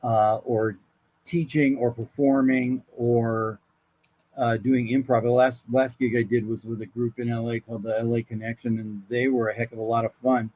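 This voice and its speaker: A man's voice: medium pitch, level tone, moderate pace, darkish tone, no room sound, muffled recording. An older American man, a voice actor appearing as a guest, tells casual anecdotes and acts out the quoted dialogue in them.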